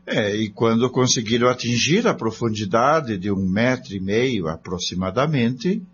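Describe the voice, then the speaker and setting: A Brazilian man delivers scripted dramatic radio narration with animated intonation. The sound is a bit dull and carries a faint hum. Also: mid-range voice, moderate pace.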